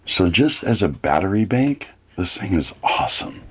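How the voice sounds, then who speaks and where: A man with a gravelly, husky voice giving a casual, plain-spoken hands-on product review. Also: deep voice, very animated, moderate pace.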